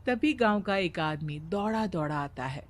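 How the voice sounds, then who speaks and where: A woman narrating a cartoon moral story in a calm, measured storytelling manner. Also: on the low side.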